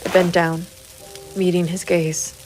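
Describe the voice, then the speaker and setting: An actress plays a hard-bitten female private investigator in a noir audio drama. Her voice sits a little low and stays very level, grim and measured, guarded yet protective. Faint music runs behind her. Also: level tone, darkish tone, natural voice, poor audio. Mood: brooding. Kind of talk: noir first-person narration and audio drama dialogue.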